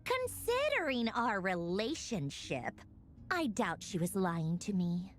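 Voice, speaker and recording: A woman voicing a sharp-tongued, exasperated harbinger in an anime game, sardonic and dramatically emphatic. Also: mid-range voice, sing-song, slow, faint music behind. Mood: matter-of-fact. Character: sharp-tongued, exasperated female harbinger with long-held grudges. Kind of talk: anime video game dialogue.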